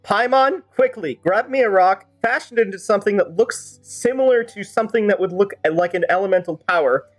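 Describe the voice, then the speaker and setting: A man with a notably high-pitched voice commentates on a game stream. He rambles in a chatty, casual way and drifts into playful role-play. Game music plays behind him. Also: fairly quick, few pauses. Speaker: livestreamer.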